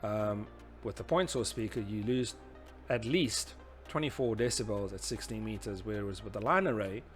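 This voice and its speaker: Low-voiced man giving an informal tutorial. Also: moderate pace, few pauses, soft background music. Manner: hesitant, explanatory, often saying "you know". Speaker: instructor presenting a tutorial series. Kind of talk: informal explanatory lecture talking through slides and diagrams.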